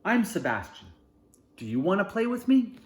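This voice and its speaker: An earnest young sasquatch boy from a storybook, as a man reads him aloud, childlike and longing for a friend. His pitch swoops about in a lively way, and the room adds an echo. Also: moderate pace. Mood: hopeful, eager. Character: young male sasquatch, eager, lonely for a friend. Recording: roomy echo.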